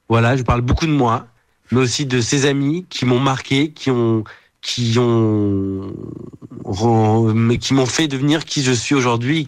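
A man records a personal voice message for a radio team. He speaks earnestly, and the sound is dry and close, with no room echo. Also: mid-range voice. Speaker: podcast producer.

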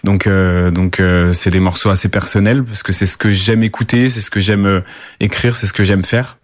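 A man's voice: low-pitched, steady tone, normal speed, no room sound, studio quality. A young man from the Paris suburbs, answering interview questions casually with many fillers and restarts. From Paris suburbs, France. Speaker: rapper, guest.

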